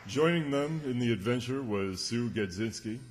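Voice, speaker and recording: A man's voice: medium pitch, animated, normal speed, over a noisy crowd. A man announcing credits genially from a script in old-time radio style to a live audience. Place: township hall stage. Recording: echoey hall, clear background noise.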